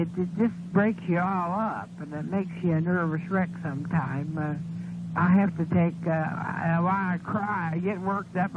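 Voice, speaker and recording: An older woman phoning a radio show, chatting in a rambling, folksy way with rural turns of phrase, over a noisy, tinny telephone line. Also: on the low side. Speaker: radio phone-in caller. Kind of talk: casual personal phone chat. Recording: noisy, tinny phone call on 1970s radio.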